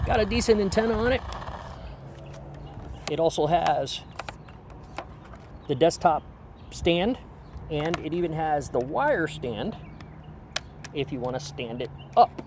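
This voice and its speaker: Guy doing a casual outdoor review, bit higher voice, chatty n folksy, noisy background. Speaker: radio reviewer, vlogger. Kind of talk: casual product review and demonstration. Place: outdoors in light rain. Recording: clearly noisy outdoor recording.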